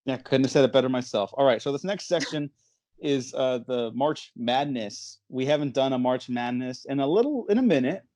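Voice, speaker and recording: A man hosts a movie podcast in a close, dry recording with an ordinary mid-range pitch. He is chatty and enthusiastic, rambling through his thoughts in an informal way. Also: animated, moderate pace, a little mellow, no room sound. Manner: chatty, rambling, enthusiastic, chuckles while talking. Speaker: podcast host. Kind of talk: casual movie podcast chat.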